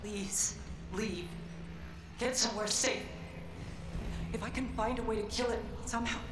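An actress playing a grief-stricken but resolute scientist in a video game, halting and pleading as she records a warning. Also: a little high, steady tone, noisy background, natural voice. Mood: grieving, urgent. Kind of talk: emotional video game acting. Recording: echoey room, music behind.

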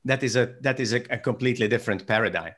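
A man in his forties from Hungary with a Hungarian accent, hosting a video call conversation in a measured, thoughtful way from his home office. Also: mid-range voice, fairly bright tone. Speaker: host. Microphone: desk mic on video call.

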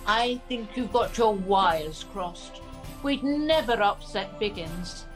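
A woman's voice: mid-range voice, animated, takes it slowly, soft background music. A woman playing an earnest young pantomime prince, theatrical in delivery. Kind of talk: comic pantomime acting.

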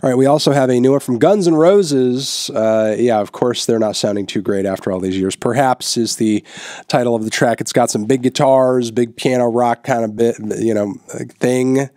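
A man's voice: medium pitch, moderate pace, no room sound. A man in his thirties with a stuffy nose, hosting a casual music review with blunt opinions and lots of filler words, on a studio mic in a small room. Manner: relaxed, frequent filler words, blunt verdicts. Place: small indoor room, newly set up. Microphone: large studio microphone on boom in front of him.

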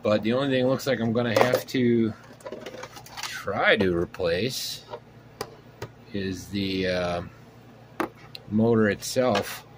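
A man's voice: lowish voice, slow pace, pauses often, background noise. A man hosting a casual hobby video at his home workshop bench, thinking aloud with lots of fillers as he handles his project. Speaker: RC hobby channel host. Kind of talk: casual workbench show-and-tell.

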